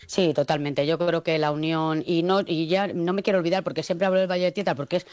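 A woman's voice: fairly low pitch, steady tone, fast, a little mellow, no room sound. A woman mayor speaking on a phone interview, hesitant, with many fillers and restarts. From Spain. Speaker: town mayor. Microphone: phone call.

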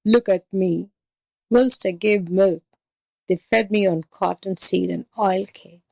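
A woman's voice: medium pitch, steady tone, slow pace, creaky, darkish tone, no echo. A woman voicing a scornful, overworked old buffalo in a storybook, grumbling with weary bitterness. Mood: bitter, weary. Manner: grumbling, embittered.

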